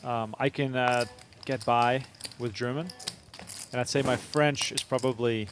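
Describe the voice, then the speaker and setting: A man's voice: medium pitch, steady tone, a little slow. Man in a noisy card room. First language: Dutch. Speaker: poker player. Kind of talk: casual table chat. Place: casino poker room. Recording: noisy room with clicks and jangling.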